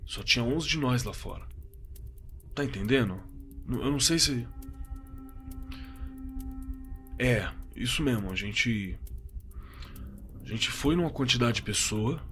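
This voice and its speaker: A man playing a shaken witness in an audio drama, recounting a frightening encounter in a halting, stammering, conversational way. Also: medium pitch, expressive, fairly bright tone, soft background music. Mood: urgent, insistent. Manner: halting, stammering, repeating himself.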